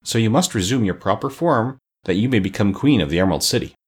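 A man's voice: on the low side, animated, quick pace, breathy edge. A man voicing a stern, composed woman sorceress in a storybook, speaking with formal authority. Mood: gentle firmness. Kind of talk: storybook character voice.

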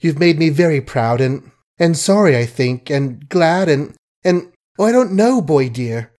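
Storybook heroine, young, flustered and fond, halting pleas, read by a man in a raised voice. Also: a little high, animated, darkish tone, pitched above the performer's own voice. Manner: breathless, halting, pleading. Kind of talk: audiobook character voice.

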